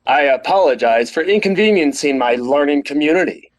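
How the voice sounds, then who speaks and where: Man playing an apologetic schoolboy, lightly pitched. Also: lively intonation, a little fast, close to an everyday voice. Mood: sheepish, earnest. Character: apologetic schoolboy, breathless, earnest, a little muddled. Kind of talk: audiobook character reading.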